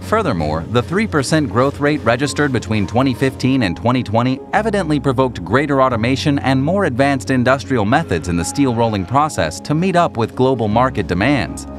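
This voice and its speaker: A man delivers scripted documentary narration over clearly audible music. His mid-pitched voice moves with lively intonation. He explains things in a measured, orderly way, as a narrator does.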